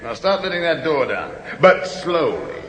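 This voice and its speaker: A reckless film maker barks orders and laughs, his voice bright and swooping. He sounds echoey, as if in a big stone room, with a long reverb tail. Faint hiss sits under the old tape. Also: fairly high pitch, big pitch swings, unhurried. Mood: boisterous. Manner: bossy, boisterous, laughing, commanding. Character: brash reckless male horror film maker. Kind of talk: 1970s radio drama acting. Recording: roomy echo, hiss, old radio tape.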